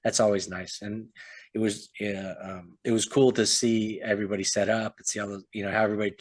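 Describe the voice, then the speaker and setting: A man with a fairly low voice co-hosts a podcast and talks in a loose, meandering way. He often lets his sentences trail off with "so". The sound is close and dry, with no room echo. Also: flat delivery, brisk. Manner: rambling, trails off with "so". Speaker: podcast co-host and author. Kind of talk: casual chat.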